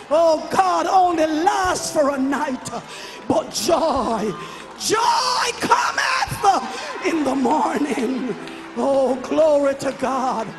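A male preacher delivers a fervent charismatic sermon in a very high, shouted voice. He declaims slowly and repeats phrases for emphasis. Music plays prominently behind him. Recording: live worship service with music and crowd. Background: music and congregation.

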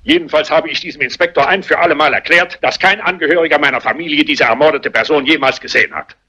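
Irascible old patriarch: fast, husky, scornful blustering for a crime drama. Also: male voice, a little high, bright, forceful tone. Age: older. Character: irascible old family patriarch, spiteful and contemptuous. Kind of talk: German crime film dubbing, theatrical dialogue.

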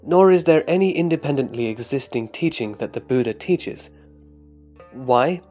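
A man playing a reverent disciple answering his master in measured, scripted scripture drama. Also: fairly high pitch, expressive, a little mellow, faint music behind, natural voice. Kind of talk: scripted audio drama recitation.

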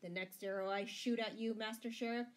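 A woman's voice: mid-range voice, fairly even intonation, brisk, lightly acted. A woman reading a storybook, voicing a bold, gallant male outlaw leader in a declamatory manner. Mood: grave warning.

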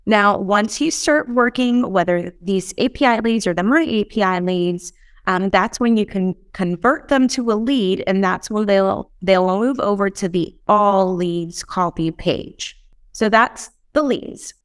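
A woman in her thirties explaining software step by step as a trainer, often checking in with short tag questions. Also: medium pitch, unhurried, smooth, dry recording, studio quality. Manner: explanatory, checks in with "right?" and "okay?". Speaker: software trainer in customer support. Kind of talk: instructional screen-share walkthrough. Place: indoor room.

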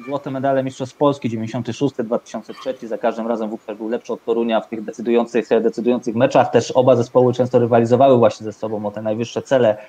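A young man hosts a casual sports talk from a room at home over a video call. His voice is dark and relaxed, at a medium pitch. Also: quick pace, hardly any pauses, slight background noise. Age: young adult. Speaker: host of a sports talk show. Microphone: video call microphone.

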